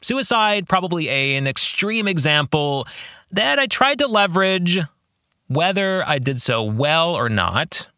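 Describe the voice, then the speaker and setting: A man with a bright, forward voice talks through a book as a podcast host and pastor. His pitch moves widely as he stresses key words, and he takes his time with earnest pauses. The sound is dry and close. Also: sing-song, no room sound. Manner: earnest, emphatic, deliberate pauses. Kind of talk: reflective book discussion with read-out passages.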